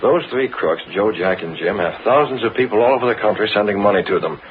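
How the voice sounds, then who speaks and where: A man's voice: on the low side, lively intonation, fast talker, natural voice. A man playing a cool, restless newspaper boss with a secret, in a vintage American radio drama. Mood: restless, frustrated. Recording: narrow-band, noisy old radio recording.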